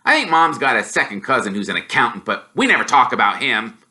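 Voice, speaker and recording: A man's voice: mid-range voice, sing-song, fast, pushed and bright, pitched above the performer's own voice. A man voicing a shy, curious young boy in a storybook, hesitant yet eager and blurting.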